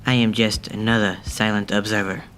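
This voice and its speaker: A man's voice: on the low side, level tone, fairly quick, a bit bright, slight background noise, lightly acted. A man acting as a terse, mysterious informant in an amateur radio drama. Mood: secretive.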